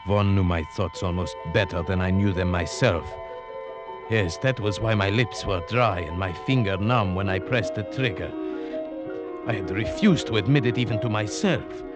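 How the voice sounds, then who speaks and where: An actor playing a grave, fearful hunter who narrates a perilous tale in formal, measured 1940s radio drama style. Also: male voice, on the low side, close to an everyday voice. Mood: brooding suspense. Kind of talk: 1940s-50s radio drama acting, first-person narration. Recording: old radio drama recording with music.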